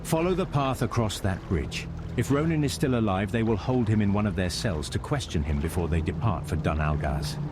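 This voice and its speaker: Man as a grave, low-voiced wizard mentor. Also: lively intonation, background noise, natural voice. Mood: grave concern. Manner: solemn, measured exposition. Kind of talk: fantasy game cutscene acting. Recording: very loud music over voice. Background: loud music.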